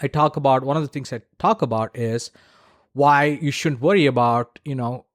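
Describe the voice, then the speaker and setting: A man's voice: medium pitch, normal speed, no room sound, studio quality. A man hosting a solo podcast, explaining practical advice in a conversational way and repeating points for emphasis. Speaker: podcast host.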